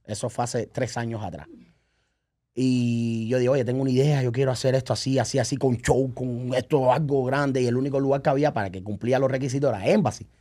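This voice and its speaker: A man's voice: medium pitch, steady tone, fast talker, warm tone. A man chatting casually as a podcast guest and event promoter, telling stories by acting out remembered dialogue and checking that the listener follows. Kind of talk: casual chat, animated storytelling.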